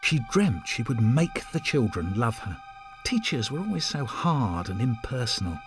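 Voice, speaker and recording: A man reading an extract from a novel aloud in an expressive literary style. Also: medium pitch, moderate pace. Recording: music behind the voice. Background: music.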